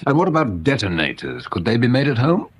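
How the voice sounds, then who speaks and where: A man playing a polite, sceptical and quietly stubborn English police inspector in a radio drama, understated and measured. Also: mid-range voice, wildly swooping pitch, slightly rough. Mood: doubtful, inquiring. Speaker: actor playing a detective inspector.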